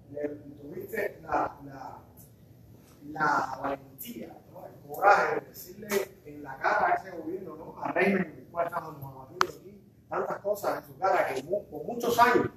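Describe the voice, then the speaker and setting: Echoey Cuban man speaking up in a lecture hall. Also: high-pitched. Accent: Cuban Spanish. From Cuba. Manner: animated, gestures while speaking, restarts and fillers. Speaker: audience member. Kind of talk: impassioned public comment. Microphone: far phone camera. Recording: very echoey hall, distant, noisy.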